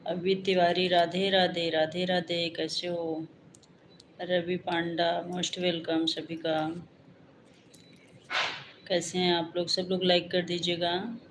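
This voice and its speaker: A woman from Uttar Pradesh hosts a live stream. She chats to commenters at an unhurried pace, and her voice stays flat and even. Faint room noise hums behind her. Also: on the low side, poor audio. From Uttar Pradesh, India. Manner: calm, greets commenters by name and asks for likes. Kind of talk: casual live-stream chat greeting viewers.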